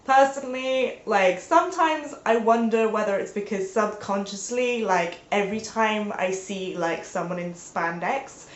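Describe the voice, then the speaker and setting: A woman's voice: medium pitch, unhurried, hardly any pauses. A young woman vlogging from home, chatting casually with lots of "like"s and playful outbursts, sounding stuffed up from a sinus infection. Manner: rambling, peppered with "like", playful exclamations. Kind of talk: casual chatty video talk. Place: indoors at home. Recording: roomy, echoey home recording.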